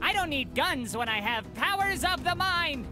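A woman's voice: sits high, expressive, normal speed, music in the background. A woman playing an earnest school-kid superhero in a cartoon game, melodramatic and heroic. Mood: earnest bravado.

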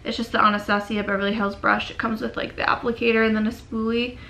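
A young woman in her twenties with a cold, chatting casually through a makeup tutorial in a dim bedroom, often trailing off into quiet murmurs. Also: fairly high pitch, level tone, quick pace, a little hiss or hum. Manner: chatty, filler-heavy, drifts into quiet murmurs while working. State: sick and sniffly. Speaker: beauty vlogger.

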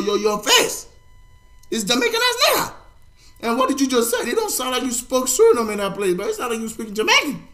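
Loud, excitable young man with a high, lively voice. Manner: loud, excitable, slangy, swings from shouting to muttering. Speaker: music reaction YouTuber. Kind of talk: music reaction vlog. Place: bedroom, indoors, gaming chair.

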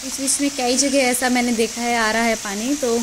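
Woman, fairly high voice, travel vlog, walking outdoors, phone audio, rushing water behind. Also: fairly even intonation, background noise. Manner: cheerful guiding, points things out to viewers. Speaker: travel vlogger. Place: outdoors on a mountain trail near a waterfall.

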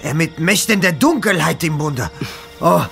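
Superstitious Egyptian servant, audio drama: high-pitched, urgent, broken speech. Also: male voice, animated, normal speed, vocal fry, pushed and bright, full character voice. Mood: alarmed. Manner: broken grammar, urgent warning. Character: anxious, superstitious Egyptian manservant. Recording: music under the voice.

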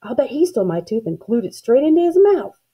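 Woman voicing a feisty, high-pitched little country girl. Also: big pitch swings, fairly quick, warm tone, husky. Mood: vowing revenge. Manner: exclaiming, accusatory, folksy. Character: feisty young girl, indignant, folksy country storybook heroine.

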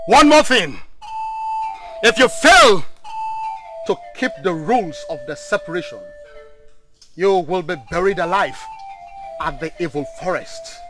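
An actor with a Nigerian accent playing a stern, pompous village shrine priest, delivering ritual pronouncements with dramatic pauses in a film drama. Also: male voice, high voice, lively intonation, over music, low-quality recording. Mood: stern, menacing.

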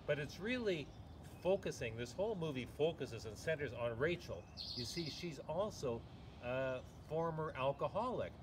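Older man reviewing films in a garden. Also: mid-range voice. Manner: chatty, storytelling, many asides. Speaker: movie reviewer host. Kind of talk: casual film review to camera. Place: sunny garden outdoors. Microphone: camera at a distance. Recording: outdoor noise, birds and traffic behind.

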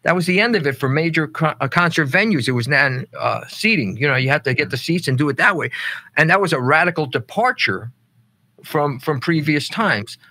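Higher-voiced reflective podcast co-host. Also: male voice, animated, brisk, sharp tone, no room sound. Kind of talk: studio podcast commentary.

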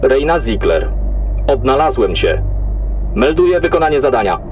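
An actor playing an obedient military computer assistant in a sci-fi audio drama, speaking in formal, clipped, emotionless reports. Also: male voice, mid-range voice, big pitch swings, normal speed, slight background noise, close to an everyday voice. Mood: impassive.

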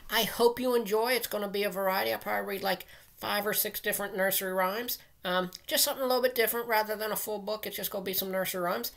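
A man's voice: high-pitched, steady tone, fast, creaky, a bit bright. A middle-aged man speaking simply and directly to young listeners, as a teacher does in a casual read-aloud. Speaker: teacher reading aloud to children. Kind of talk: casual read-aloud of nursery rhymes for children. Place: small room at home, indoors.

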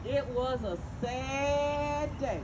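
High-voiced folksy enslaved woman, acted on stage. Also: very slow, noisy background. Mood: knowing, reflective. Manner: folksy, emphatic recollection. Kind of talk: live dramatic stage reading. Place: outdoor stage, recorded from the audience. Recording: outdoor audience recording with crowd.

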